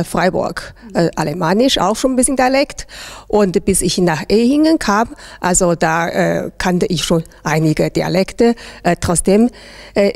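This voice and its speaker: A woman in middle age speaks with a gravelly creak and a Chinese accent, her native language being Chinese. She answers interview questions in a halting way, searching for words. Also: medium pitch. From China. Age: middle-aged. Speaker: interviewee, teacher. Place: large indoor hall. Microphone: handheld interview microphone.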